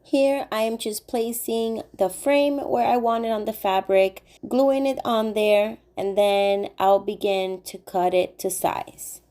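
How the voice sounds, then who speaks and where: A woman's voice: mid-range voice, slow, hardly any pauses, over loud music. A woman hosting a craft channel, cheerfully talking through each step in a voice-over while getting over a cold. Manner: cheerful, chatty step-by-step explaining. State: recovering from a cold.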